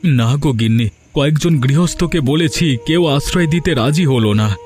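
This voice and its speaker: A man's voice: on the high side, moderate pace, darkish tone, soft background music, natural voice. A man voicing a humble, hopeful poor village father in a story cartoon, earnest and deferential. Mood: reassuring. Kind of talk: Bengali moral story cartoon dubbing.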